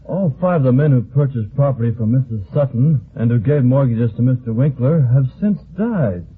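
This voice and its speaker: An actor playing a courteous, methodical records clerk with a formal manner in an old-time radio drama. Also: male voice, medium pitch, expressive, normal speed, a little hiss or hum, close to an everyday voice. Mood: businesslike. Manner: formal, orderly. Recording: muffled, tinny vintage radio recording.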